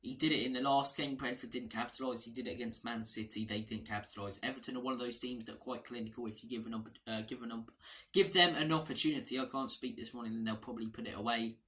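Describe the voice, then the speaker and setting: A man with a hoarse voice, hosting a fan channel and chatting through a casual football match preview with a few restarts and digressions. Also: mid-range voice, steady tone, fast talker, fairly bright tone.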